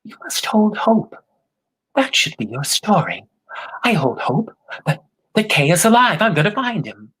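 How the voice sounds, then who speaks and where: A storyteller voicing an earnest, hopeful young girl, calling out in a high, husky voice. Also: big pitch swings, measured pace, a bit bright, heavily acted. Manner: high, pleading calls.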